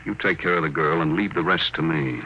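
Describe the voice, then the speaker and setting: A man's voice: extremely low pitch, brisk. An actor playing a shrewd, commanding businessman in a 1950s radio drama, with a clipped, take-charge manner. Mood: brisk, determined. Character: shrewd, cool, commanding businessman in space-age intrigue. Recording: noisy, dull 1950 radio recording.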